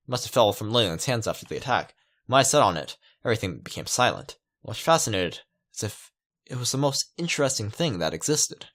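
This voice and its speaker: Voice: medium pitch, fairly bright tone. A let's player reading a visual novel aloud dramatically with casual asides, stumbling over words and correcting themselves.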